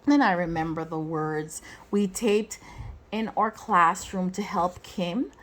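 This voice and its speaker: A woman reading a children's picture book aloud in an expressive way, phrasing deliberately and acting out the dialogue. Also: lowish voice. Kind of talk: expressive storybook read-aloud.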